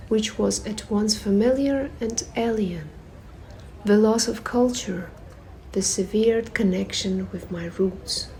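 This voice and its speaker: A woman reading a personal essay aloud at a public reading, in a measured, reflective way with pauses between phrases. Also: fairly high pitch, warm tone, slight background noise, low-quality recording. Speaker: reader at a performative reading.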